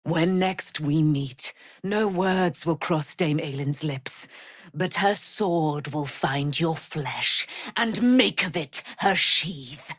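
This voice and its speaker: A righteous immortal warrior woman, born of a moon goddess, in theatrical fantasy game style. Her voice sits a little low and moves at a very measured, stately pace. The delivery is proud, formal and grandiose, captured close and dry. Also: fairly bright tone, breathy edge, no echo, full character voice. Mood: scornful, fierce.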